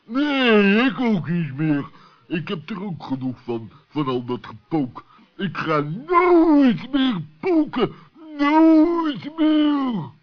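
A man's voice: sits high, big pitch swings, slow pace, pitched above the performer's own voice, heavily acted. A man voicing a naive, easily scared forest animal in a children's radio play, wailing, repeating himself and muddling his words. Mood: fed up. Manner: wailing, repetitive. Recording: dull, lo-fi old recording.

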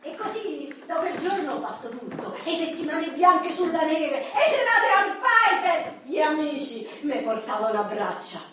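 Feisty high-voiced Roman woman, echoey hall. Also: very animated, unhurried, few pauses, fairly bright tone, exaggerated voice. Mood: proud, passionate. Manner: vivid, colloquial storytelling, acting out quoted people. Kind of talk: theatrical monologue in a radio play. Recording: echoey hall, distant mic, clicks.

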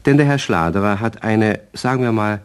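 A man's voice: on the low side, normal speed, natural voice. A man narrating a German children's radio play as a wry storyteller, with scripted phrasing.

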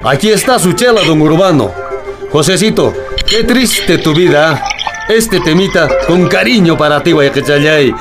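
Actor as a sly, slow, high-voiced Peruvian barkeeper. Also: male voice, animated, over loud music. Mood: teasing. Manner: teasing, singsong, chuckling. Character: sly, teasing old barkeeper, singsong jester. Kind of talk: radio drama acting.

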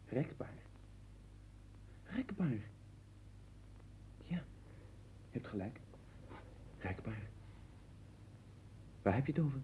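A man's voice: mid-range voice, big pitch swings, takes it slowly, pauses often, dark tone. An actor playing an anxious, obsessive man who rambles and broods in a 1960s Dutch radio play. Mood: muttering, troubled. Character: anxious, obsessive man sinking into his own strange world. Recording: tinny, band-limited 1960s radio recording with hiss.

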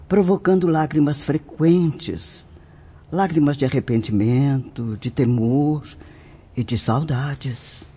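A Brazilian actress playing a venerable, kindly spirit nun who instructs in a declamatory radio drama style. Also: female voice, rumbling low, dark tone, husky, heavily acted. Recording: dull lo-fi radio recording, hissy background.

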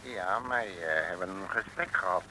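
Bumbling sleuth posing as pompous mandarin, pidgin speech, piercing and gravelly, vintage radio comedy. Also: male voice, deep voice, moderate pace, bright, forceful tone, a little hiss or hum, exaggerated voice, rough recording. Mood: flustered, muttering. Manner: mock-pompous broken pidgin speech, muttering asides. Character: bumbling male detective posing as a grand Eastern mandarin. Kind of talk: 1960s radio comedy serial acting.

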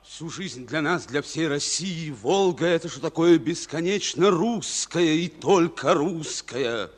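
Casting: middle-aged wartime captain, forceful and bright, solemn, clipped orders, old radio play in a reverberant hall. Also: male voice, a little high. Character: stern, resolute Red Army captain of about forty. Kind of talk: Soviet wartime radio drama acting.

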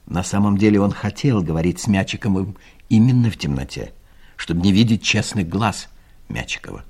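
A man's voice: deep voice, expressive, brisk, darkish tone. A man narrating a scripted comic story as the storyteller of an audio play.

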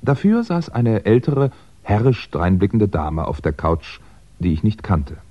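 A man's voice: lowish voice, very animated, a little slow, dark tone. An actor playing a wry, eloquent crime writer on the run who tells his own story in a 1960s radio drama. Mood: wry, composed. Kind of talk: first-person narration and dialogue in 1960s German radio crime drama. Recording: dull, roomy 1960s radio recording.

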